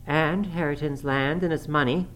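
Guy doing bold young woman in an audiobook, higher voice, cheeky n defiant, noisy audio. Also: moderate pace, fairly bright tone, close to an everyday voice. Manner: pert, insistent, challenging. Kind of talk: audiobook character acting. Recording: clearly noisy recording.

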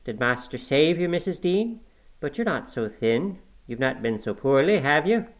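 An excitable country housekeeper woman from a classic novel, acted out by a male reader. The voice is pitched high, with gossipy, exclamatory rustic turns of phrase and a kindly streak. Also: moderate pace. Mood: excited, gossipy. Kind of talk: audiobook character acting.

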